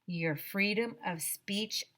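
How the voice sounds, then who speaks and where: Mean storybook principal, played by a woman: bullying male authority, barking and drawn-out, hard sharp edge. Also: mid-range voice. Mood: angry. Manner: barking, menacing, drawn-out shouting. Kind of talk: children's storybook read-aloud.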